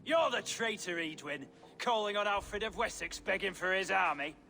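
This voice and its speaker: An actor playing a hot-tempered, crude captive Saxon thane in a video game, indignant and insulting. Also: male voice, sits high, animated, normal speed, gravelly, bright, forceful tone, a little hiss or hum, full character voice. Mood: defiant outrage.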